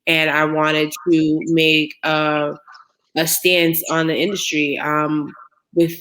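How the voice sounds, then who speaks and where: A woman from Massachusetts who owns a dispensary, explaining in a measured way on a video call. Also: low voice, level tone, a bit bright. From Brockton, Massachusetts. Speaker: dispensary owner. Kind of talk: panel chat.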